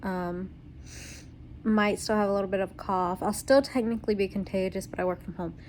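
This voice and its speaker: A young woman talks through a casual home video diary, her voice worn out and stuffy from a cold. She rambles along in a middle-pitched voice, full of ums and little laughs, with a faint hum of noise in the background. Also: a little fast, darkish tone. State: tired, congested voice from covid. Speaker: vlogger.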